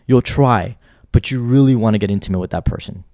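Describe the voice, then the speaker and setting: A man's voice: fairly low pitch, brisk, mellow, no echo, studio quality. A man reacting to a clip in blunt commentary, lecturing and repeating his points for emphasis.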